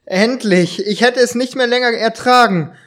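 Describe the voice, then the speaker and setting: A man playing a polite, agitated writer in an amateur German detective radio play, haltingly stumbling over his lines. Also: very high voice, a bit bright. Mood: flustered.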